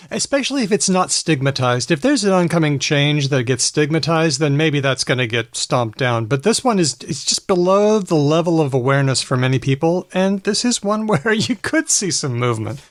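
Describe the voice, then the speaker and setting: Male co-host, ex-teacher, higher pitch, upbeat explainer, dry close mic. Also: animated, moderate pace, no room sound. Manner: upbeat, explanatory, many "you know"s. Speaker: podcast co-host, former English teacher. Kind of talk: casual conversation.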